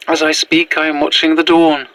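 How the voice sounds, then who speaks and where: A courteous Victorian woman in a gothic audio drama. Her voice is bright and forward, and she speaks in measured, old-fashioned phrases with earnest, wistful gratitude. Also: sits low, little pitch movement, dry recording, poor audio. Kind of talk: period-styled audio drama monologue.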